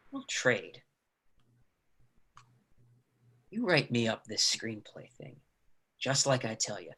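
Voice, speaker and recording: An actor reading a domineering, rough older brother in a play, with a husky voice and badgering, slangy speech. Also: male voice, medium pitch, fairly bright tone. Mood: scheming, controlled.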